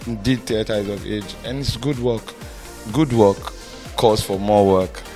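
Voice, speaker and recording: A man answers in a press interview. His voice is fairly low, with light music in the background. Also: a little slow, warm tone. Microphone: handheld reporter's mic.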